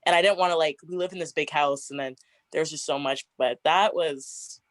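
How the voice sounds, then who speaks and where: A young woman in her twenties with a deep, raspy voice, chatting casually as an interview guest and laughing often. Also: brisk, dry recording, studio quality. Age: 20s. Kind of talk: casual get-to-know-me interview.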